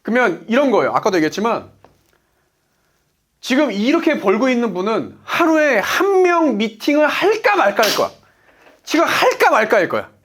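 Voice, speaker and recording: A man's voice: very high-pitched, very animated, moderate pace, a bit bright, slightly rough. A man in his forties giving a motivational business talk as a coach, emphatic, asking rhetorical questions and answering them himself. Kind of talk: motivational lecture.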